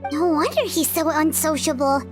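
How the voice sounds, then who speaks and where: A woman voicing a small, excitable, childlike fairy companion in a video game, inquisitive and thinking out loud. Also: high voice, normal speed, over music, lightly acted. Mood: curious. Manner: exclamatory, inquisitive, thinks aloud. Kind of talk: video game character acting.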